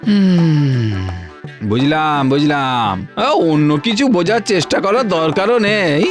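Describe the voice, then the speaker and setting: A greedy, bossy villager in a cartoon fairy tale. His voice sits high for a male, and he pushes his words out in exaggerated, insistent repetitions over clear background music. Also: animated, unhurried. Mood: scheming, eager. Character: greedy, obsessive, bossy village husband with a cow.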